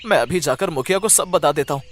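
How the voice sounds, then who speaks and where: A man's voice: on the high side, quick pace, slight background noise, natural voice. A man voicing a humble, earnest poor villager in a cartoon story, respectful and easily distressed. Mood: earnest, pleading. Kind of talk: Hindi cartoon story acting.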